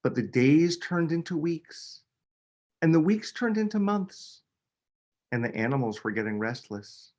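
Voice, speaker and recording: Very animated, unhurried man reading aloud. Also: a little high. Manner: expressive, dramatic delivery with emphasis on key words. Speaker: children's book author reading aloud. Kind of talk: animated picture-book read-aloud.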